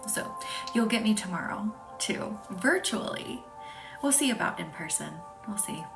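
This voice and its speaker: A woman from the American South leads a live-streamed reiki session, speaking softly and slipping into a breathy half-whisper. She answers viewers with hesitant, filler-laden chat. She sits back from the phone mic, with clear music playing behind her. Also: mid-range voice, expressive, measured pace, distant mic. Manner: soft, often whispery, hesitant with many fillers.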